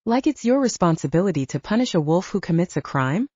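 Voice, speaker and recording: A woman's voice: fairly low pitch, very animated, quick pace, darkish tone, no echo, dull audio. A woman voicing a commanding, exasperated older brother, an alpha werewolf, with a stern, patronizing manner in an audiobook.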